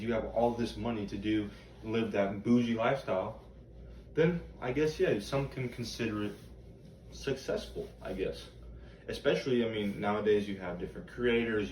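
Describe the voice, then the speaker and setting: A man's voice: on the low side, a little mellow, lightly acted. An American man playing an earnest motivational teacher, giving students a rambling, emphatic, colloquial monologue. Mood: skeptical. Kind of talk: self-written monologue, motivational speech. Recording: echoey room, distant mic, background noise.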